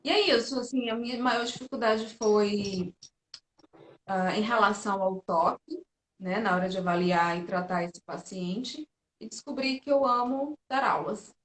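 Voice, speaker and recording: Uma fisioterapeuta e professora brasileira numa conversa ao vivo descontraída, que conta histórias de forma animada. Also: female voice, medium pitch, a little slow, roomy sound. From Brazil. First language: Portuguese. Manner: lively storyteller, animated quoting. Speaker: physiotherapist and teacher. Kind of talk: casual live-stream interview.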